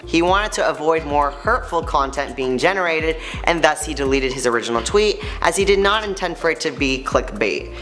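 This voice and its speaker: A young man with a high-pitched voice hosting an upbeat, scripted news recap in a studio, chatty and playful. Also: pushed and bright. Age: young adult. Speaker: host.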